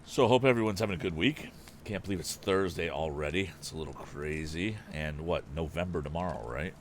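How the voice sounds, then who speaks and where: A man's voice: low voice, fairly quick, a little hiss or hum. A man hosting a live story reading, narrating expressively and dramatically with casual asides. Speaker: host and reader of a live story stream.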